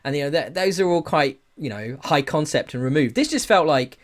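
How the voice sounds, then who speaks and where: A man's voice: on the high side, lively intonation, brisk. Rambling man hosting a casual podcast. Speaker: podcast host. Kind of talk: casual chat about games and news.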